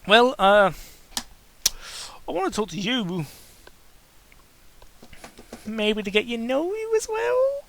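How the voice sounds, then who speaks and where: A man's voice: extremely high pitch, big pitch swings, slow, long pauses, a bit bright, slight background noise, pitched above the performer's own voice, full character voice. A man playing an earnest, nervous suitor in a fan fiction story, with a hesitant manner. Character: earnest, nervous suitor courting a dangerous pony.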